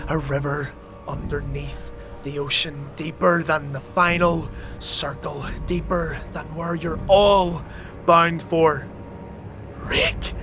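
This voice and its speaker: An actor playing a fanatical alchemist twisted by dark blood rites, preaching fervently in an unhinged manner in an audio drama. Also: male voice, on the high side. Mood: ecstatic, preaching. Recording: music behind.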